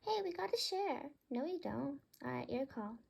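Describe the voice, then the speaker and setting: A woman voicing excitable, bickering pet birds in a storybook, exclaiming and whining. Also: high-pitched, moderate pace, vocal fry, voice pushed up, exaggerated voice. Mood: bickering, whiny. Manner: exclaiming, whiny.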